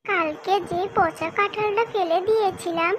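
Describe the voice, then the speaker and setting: A very high, childlike little girl, acted by a woman in a kids' cartoon. She is eager and earnest, pleading as she talks, with faint noise behind her. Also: steady tone, moderate pace. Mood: excited. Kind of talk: children's cartoon story dubbing.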